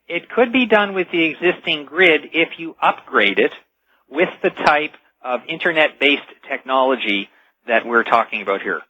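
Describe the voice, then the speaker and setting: A man is being interviewed as an expert on a radio show. His voice has a tense, bright edge and some rasp as he lays out his explanation emphatically. The sound is dry and slightly dull, like a lo-fi broadcast. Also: on the high side, expressive, moderate pace.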